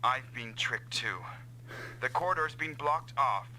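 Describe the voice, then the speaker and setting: A man's voice: mid-range voice, a little slow, very noisy, lightly acted. An actor playing a resolute male mech pilot teammate in an anime-style game dub. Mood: tense, resolute.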